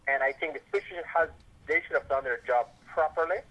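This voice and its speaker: A man's voice: a little high, fast. A man with a Belizean accent calling a radio show over the phone, stern and emphatic as he complains. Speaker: radio caller, tour guide. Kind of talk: casual phone-in opinion. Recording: dull lo-fi phone line.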